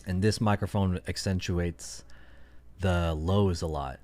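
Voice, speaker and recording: Low-voiced man rambling on a livestream. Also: fairly even intonation, takes it slowly, a little mellow. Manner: rambling, thinking aloud with many fillers. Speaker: streamer. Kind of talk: casual livestream chat.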